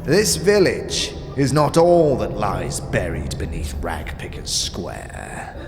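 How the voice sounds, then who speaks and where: A creaky, sly old village lord. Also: male voice, fairly low pitch, big pitch swings, background noise, exaggerated voice. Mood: hushed, secretive. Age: older. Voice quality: creaky, mumbling, breathy in places. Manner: wheedling, drawn-out, archaic turns of phrase. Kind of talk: fantasy audio drama acting. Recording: long reverb, music underneath. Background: music.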